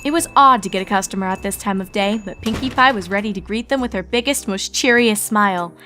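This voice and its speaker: Woman, narrator, story reading, mid pitch, soft music behind. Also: fast talker. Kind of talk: fanfic audiobook reading.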